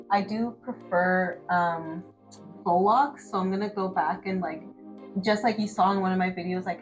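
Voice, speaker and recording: Chatty woman in her thirties, lowish voice. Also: rough recording. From Canada. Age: 30s. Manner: chatty, many fillers and restarts. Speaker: medical student and vlogger. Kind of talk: casual chat while doing her hair.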